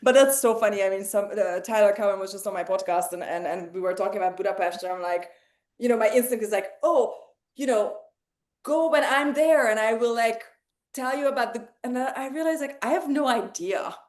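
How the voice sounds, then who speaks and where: A woman from Hungary hosting a casual group discussion over a video call, quick and chatty with laughing asides. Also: on the high side, a little mellow, reverberant.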